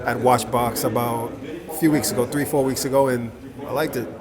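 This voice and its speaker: A male host chats easily with collectors at a boutique event, dropping quick yeahs and for sures. His voice sits at an ordinary middle pitch. A busy crowd murmurs all around him. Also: steady tone. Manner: friendly, agreeable, lots of short affirmations. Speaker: interviewer and channel host. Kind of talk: casual event interview. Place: indoor watch boutique event. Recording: voices over a chatty crowd.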